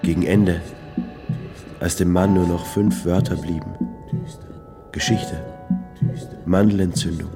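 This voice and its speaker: A man's voice: low voice, fairly even intonation, slow, long pauses. A man reading scripted literary narration in a declamatory way. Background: music.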